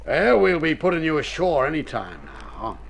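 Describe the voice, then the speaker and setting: A gruff schooner captain in a radio play, shady but soft-hearted. His gravelly voice swings up and down as he teases in folksy seafarer slang, over faint old-recording hiss. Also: fairly high pitch, wildly swooping pitch, normal speed, heavily acted. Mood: wry, teasing. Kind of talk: old radio drama acting.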